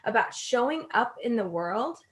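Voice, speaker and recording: Animated, giggly woman podcast co-host. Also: fairly high pitch, moderate pace. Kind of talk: casual podcast banter.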